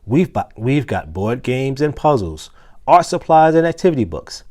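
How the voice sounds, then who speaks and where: A brisk storybook schoolteacher , a woman as read by a man, firmly directs her class. The delivery is instructive and animated, with pitch that rises and falls widely. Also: close to an everyday voice. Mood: brisk, organizing.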